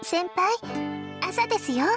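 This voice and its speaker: Sweet polite anime game schoolgirl, really high gentle voice, loud music under it. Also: lively intonation, normal speed. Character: sweet, polite teenage girl, a devoted schoolmate. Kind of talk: Japanese visual novel voice acting. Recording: game audio with music over it.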